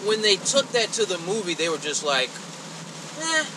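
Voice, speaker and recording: A man's voice: sits high, big pitch swings, moderate pace, background noise. A man co-hosting a podcast, talking in a blunt, conversational, casual way. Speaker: podcast co-host.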